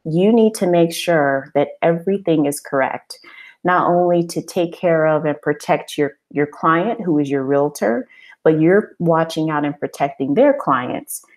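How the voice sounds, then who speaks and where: A woman with a low voice leads an online webinar as a business coach. She speaks in an encouraging, conversational way, posing questions and answering them herself. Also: unhurried, a little mellow, low-quality recording. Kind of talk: informal webinar presentation.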